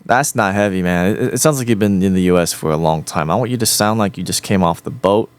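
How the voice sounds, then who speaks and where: A man's voice: low voice, fast, clean tone. A man chatting casually with an AI voice assistant, rambling and repeating himself as he rephrases his questions. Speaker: host.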